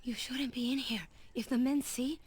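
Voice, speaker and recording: A woman's voice: high voice, steady tone, normal speed, a little mellow, breathy edge. A woman playing a defensive, pleading young woman in a Western video game, speaking earnestly. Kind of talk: dramatic video game cutscene acting.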